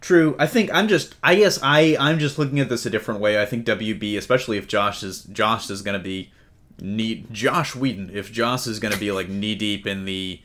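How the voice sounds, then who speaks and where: A man's voice: lowish voice, moderate pace, a bit bright. A man hosting a casual entertainment news discussion in a conversational way, putting questions to his co-hosts. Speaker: podcast host.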